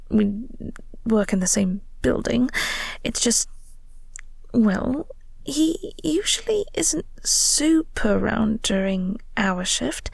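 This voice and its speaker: A woman playing a flirty, awkward vampire co-worker in an intimate audio roleplay, soft and breathy, with a hesitant, polite, coy manner. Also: on the high side, no echo, exaggerated voice. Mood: curious, probing.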